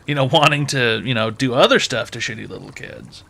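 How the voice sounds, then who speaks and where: A man's voice: medium pitch, expressive, a little fast, creaky, sharp tone. A man hosting a call-in advice show, brash and mocking with crude, profane jokes.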